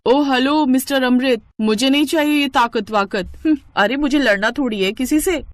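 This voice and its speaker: A woman voicing a playful, fussy young city-bred wife in a cartoon story, with a lively, bratty manner. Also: high-pitched, normal speed, no room sound, voice pushed up, close to an everyday voice. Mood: fussy, teasing.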